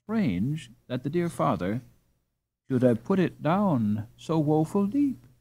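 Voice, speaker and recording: A man reads as a middle-aged peasant mother from a storybook. She is practical and fond, exclaiming in old-fashioned phrases, with a slow delivery and pitch that rises and falls freely. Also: sing-song, warm tone, husky, full character voice. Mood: provoked.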